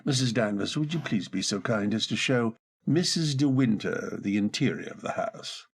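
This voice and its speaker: Gravelly, animated gentleman played by a man. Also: fairly low pitch, normal speed. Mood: brisk, courteous. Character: genial, commanding upper-class male estate master. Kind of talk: old-time radio drama acting.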